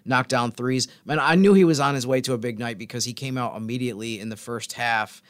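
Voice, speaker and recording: Male sports podcast host, conversational, mid-pitched, close dry sound, thinks out loud. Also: a little fast, no echo. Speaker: basketball podcast host and locker-room reporter. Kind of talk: casual sports podcast chat.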